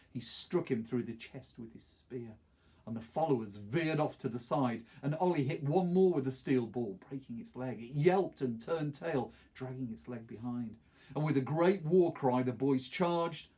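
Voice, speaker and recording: Middle aged guy reading a book out loud, kinda raspy voice, expressive storytelling. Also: medium pitch, moderate pace. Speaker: teacher reading a novel aloud. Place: small wood-panelled study, indoors.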